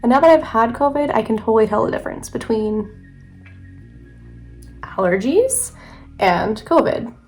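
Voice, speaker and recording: A woman's voice: a little high, lively intonation, normal speed, long pauses, darkish tone, soft background music. A young woman vlogger chatting casually through a makeup tutorial, sounding a little congested from allergies. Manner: chatty, rambling, self-correcting. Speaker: beauty vlogger.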